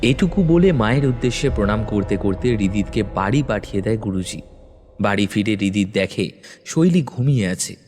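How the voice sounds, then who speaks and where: A man reading a village horror story in measured, suspenseful narration. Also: lowish voice, a little mellow, a little hiss or hum.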